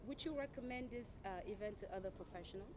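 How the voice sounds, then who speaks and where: A woman interviewing conference attendees, asking short set questions with fillers. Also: medium pitch. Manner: brisk, repeats set questions. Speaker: event interviewer. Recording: noisy background.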